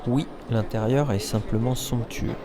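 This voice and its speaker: A man hosting a travel vlog, narrating in a relaxed, wry tone that speaks straight to the viewer. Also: medium pitch, unhurried, noisy background. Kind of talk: voice-over narration.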